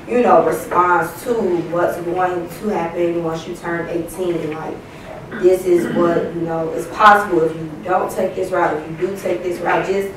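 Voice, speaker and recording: A woman's voice: fairly low pitch, steady tone, unhurried. A woman with an American accent in an audience, asking an informal, tentative question. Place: lecture room audience, away from the microphone. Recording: very echoey, distant, noisy room.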